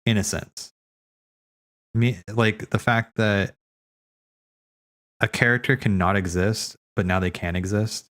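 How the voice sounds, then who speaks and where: A man's voice: fairly low pitch, steady tone, slow, pauses often, a bit bright. A man streaming casual, rambling commentary, thinking aloud as he hosts, often repeating his words. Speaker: streamer hosting a watch party.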